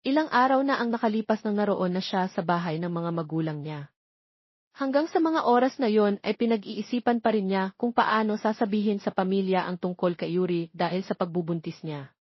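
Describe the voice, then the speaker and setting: A husky-voiced woman narrates a romance story from a script. Her voice sits at a middle pitch. The recording is dry and slightly dull. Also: lively intonation, brisk, no echo. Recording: dull lo-fi, close dry sound.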